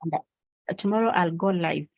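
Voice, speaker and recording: Kenyan woman guest, Kikuyu native, earnest and steady, muffled dry remote audio. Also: on the low side, moderate pace, no room sound. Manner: earnest, steady, preaching scripture. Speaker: guest, foundation founder. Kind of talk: faith-based interview talk.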